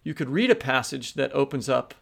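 A man's voice: mid-range voice, lively intonation, brisk, vocal fry, fairly bright tone. A man co-hosting a podcast in a casual, conversational style. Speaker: podcast co-host.

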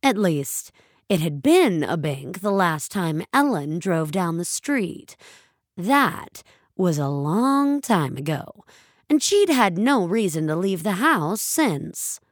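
A woman reading scripted story narration, expressive and deliberate, as the narrator of a tale. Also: lowish voice, no room sound, clean studio sound.

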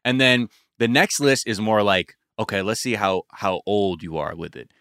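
A man in his thirties in a casual podcast chat, animated and profane, often breaking into laughs. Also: fairly low pitch, moderate pace, smooth, no echo, clean studio sound. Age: 30s.

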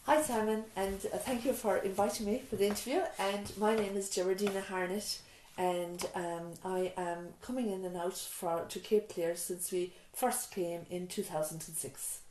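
Woman, middle-aged, mellow voice, reflective storytelling, restarts, echoey small room. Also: mid-range voice, fairly even intonation, normal speed, few pauses, a little hiss or hum. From Ireland. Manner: warm storytelling, frequent restarts and "you know". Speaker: island nurse and mother of four, interviewee. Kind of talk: reflective interview answers. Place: island nurse's surgery, small room.

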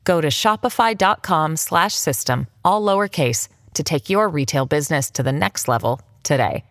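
A woman with a notably low voice reads scripted ad copy. Her intonation is lively and varied, and the recording is dry and close. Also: moderate pace, no echo. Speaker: advertisement reader. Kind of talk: scripted podcast ad read.

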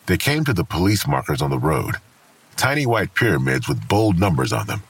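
A man with an American accent narrating a crime audio drama as a grave, detached storyteller in a measured way. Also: rumbling low, very animated, slightly rough, no room sound, full character voice. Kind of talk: scripted noir crime narration. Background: faint music.

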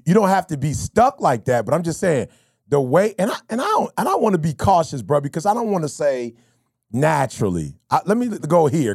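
A man, hosting a podcast in casual, animated talk full of slang and stories. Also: a little high, vocal fry, breathy edge, no room sound, clean studio sound. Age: 50s. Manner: animated, storytelling, slangy with bro and you feel me. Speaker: podcast host. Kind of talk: casual motivational chat.

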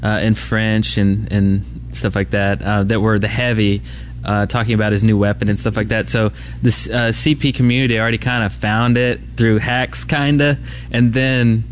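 A man's voice: fairly low pitch, flat delivery, moderate pace, hardly any pauses. A man co-hosting a gaming podcast with casual banter, recorded on a convention floor. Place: busy convention hall floor. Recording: noisy convention floor ambience. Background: convention floor background noise.

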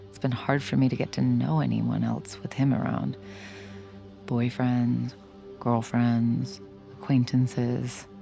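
A woman's voice: very deep voice, vocal fry, a little mellow, full character voice. An actress playing a caring, teasing young woman in an audio drama, speaking with candid intimacy. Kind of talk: audio drama acting and first-person narration.

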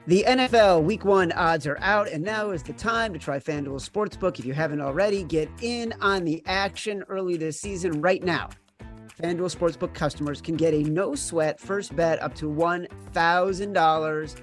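Male host for scripted sponsor read. Slightly high pitch, light music bed. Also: moderate pace. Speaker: podcast host reading sponsor ad.

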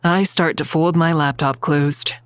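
A woman's voice: low-pitched, steady tone, a little slow, slight background noise. A woman playing a wary, dry-witted investigator who narrates her own story in an audio drama, guarded and understated. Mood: uneasy, reflective. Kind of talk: first-person audio drama narration and dialogue.

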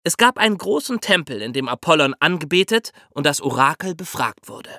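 Animated, bright-voiced man explaining history. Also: on the high side, brisk, no echo, studio quality. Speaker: podcast host explaining history. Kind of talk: lively explanatory podcast dialogue, storytelling.

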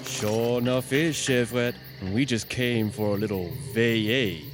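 An actor playing a menacing, taunting mob leader with a folksy Southern US accent in an audio drama. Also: male voice, mid-range voice, fairly even intonation, unhurried, lightly acted. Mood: sneering. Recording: loud music behind the voice.

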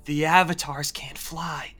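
A woman's voice: deep voice, steady tone, measured pace. A woman playing a grave, melodramatic character in an overwrought stage musical. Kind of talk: overwrought musical theatre acting. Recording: loud music under the voice. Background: loud music.

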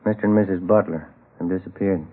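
Plain-spoken police detective, lowish voice. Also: male voice, steady tone, fast, slightly rough. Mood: concerned, businesslike. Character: plain-spoken, easygoing male police detective, the sergeant's partner. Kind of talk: 1950s radio police drama acting. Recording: dull old radio recording.

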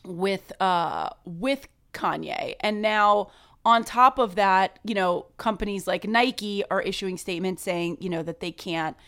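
A woman's voice: medium pitch, a little slow, fairly bright tone. A woman co-hosting a casual sports podcast, upbeat and encouraging, with fillers when explaining. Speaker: podcast co-host.

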